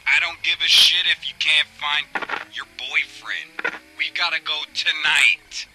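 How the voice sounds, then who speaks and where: Very forceful, threatening tough guy. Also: a little high, steady tone, unhurried, soft background music, rough recording. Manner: hard, forceful, intimidating. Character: threatening, crooked male tough, bullying and impatient. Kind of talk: scripted game dialogue.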